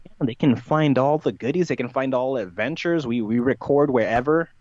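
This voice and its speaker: A man with an average-pitched voice talks as an interview guest. He rambles through playful, far-fetched claims in a matter-of-fact way. The sound is close and dry but a bit muffled and lo-fi. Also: moderate pace, a little mellow, dry recording. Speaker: podcast guest. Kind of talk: conversational interview talk, playful tongue-in-cheek persona.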